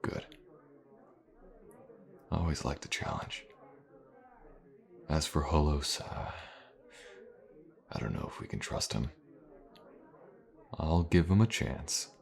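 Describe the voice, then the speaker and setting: A man playing a gruff, wry, loyal mercenary with a gravelly voice in a fantasy audio roleplay. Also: extremely low pitch, lively intonation, takes it slowly, long pauses, exaggerated voice. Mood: steady, loyal.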